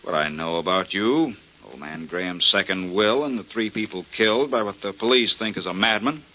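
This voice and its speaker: A shrewd, insinuating doctor in vintage radio crime drama. The voice is fairly low and forceful, with animated swings in pitch. The recording is dull and lo-fi, from the 1940s. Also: male voice. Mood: sly, insinuating. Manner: insinuating, deliberate bargaining. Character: shrewd doctor posing as a bargaining blackmailer.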